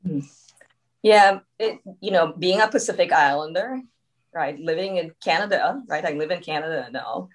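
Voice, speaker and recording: A woman's voice: on the low side, moderate pace, poor audio. A woman from Trinidad with a subtle Trinidadian accent, a coach speaking as a podcast guest in a reflective way, often adding "right?". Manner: reflective, frequent tag "right?". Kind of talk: conversational interview.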